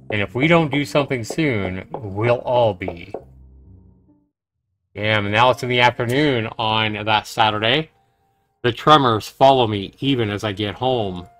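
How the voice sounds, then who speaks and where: A man's voice: lowish voice, a bit bright. A man livestreaming a game, reading its narration aloud dramatically with casual asides. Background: game music.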